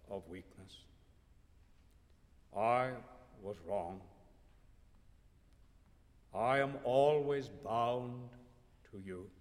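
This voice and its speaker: A man voicing a patient, lovesick man who speaks softly and lets his words fall away. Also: lightly acted. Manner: soft, low and trailing off. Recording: long hall reverb.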